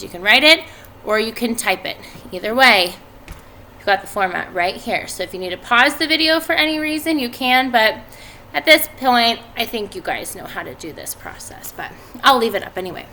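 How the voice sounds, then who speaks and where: A woman in her thirties, a teacher reading a storybook aloud to pupils, expressive and clearly phrased. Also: medium pitch, slight background noise, rough recording. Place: indoors at home by a fireplace.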